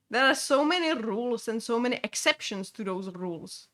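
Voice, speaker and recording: A young woman from Czechia with a Czech accent, chatting casually as she streams a game, halting with many fillers and restarts. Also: a little high, expressive, fairly bright tone. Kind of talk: casual gameplay chat.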